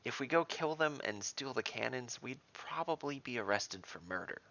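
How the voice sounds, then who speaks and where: A man's voice: medium pitch, lively intonation, normal speed, creaky, sharp tone, dull audio, pitched above the performer's own voice. A man voicing a cautious, doubtful adventurer in a fantasy audio storybook, speaking carefully and hesitantly.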